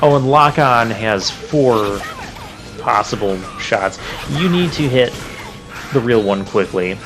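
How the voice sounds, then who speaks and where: Male let's player, mid-range voice, casual, chatty, thinking aloud, game music clearly behind him. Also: mid-range voice, lively intonation, takes it slowly. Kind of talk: casual gameplay commentary. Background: game music and crashing effects.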